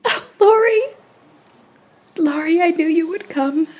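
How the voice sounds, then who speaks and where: A woman playing a tender, grieving young woman in an audio play, with a soft, breathy voice. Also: very high voice, takes it slowly. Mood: tearful relief.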